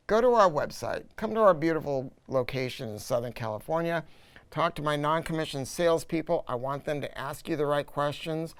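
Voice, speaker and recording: A man's voice: on the high side, smooth. A middle-aged American man giving a casual, unscripted product review as a dealer, blunt and informal, repeating key words for emphasis.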